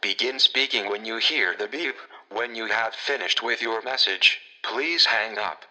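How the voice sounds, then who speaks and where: A man voicing an impersonal automated phone message system in a sci-fi audio drama, formal and measured. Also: fairly low pitch, pushed and bright, poor audio. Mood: neutral, official.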